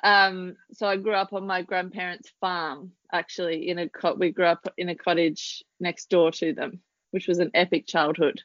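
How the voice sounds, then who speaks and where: Australian woman, 30s, relaxed podcast guest, chatty with ums; dry, dull lo-fi recording. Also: fairly low pitch, moderate pace, no echo. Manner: chatty, laughs easily, many ums. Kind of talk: casual interview chat.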